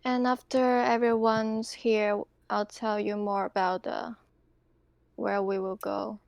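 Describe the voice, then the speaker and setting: A woman's voice: mid-range voice, flat delivery, slow, gravelly, smooth, no echo. A woman playing a tabletop role-playing game, speaking in character and chatting at the table with hesitant phrasing. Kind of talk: in-character role-play dialogue and table chat.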